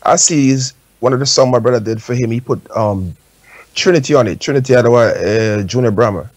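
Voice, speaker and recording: A man's voice: mid-range voice, a little fast, darkish tone, no room sound. Dry-sounding Jamaican man, conversational. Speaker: talk show guest. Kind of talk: casual radio discussion.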